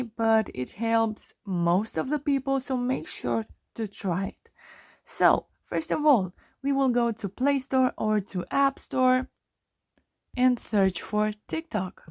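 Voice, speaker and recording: Woman doing a phone tutorial, highish voice, slow step by step, dry sound. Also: darkish tone, no echo. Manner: instructional, step by step. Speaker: tutorial host. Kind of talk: scripted how-to narration for a phone screen tutorial.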